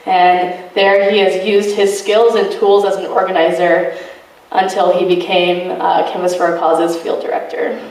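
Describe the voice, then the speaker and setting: A woman activist giving an informal talk to a student group, earnest and loud, with lots of ums. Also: on the low side, little pitch movement, unhurried. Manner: earnest, many fillers. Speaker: activist speaker. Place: college meeting room. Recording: echoey room from a distance.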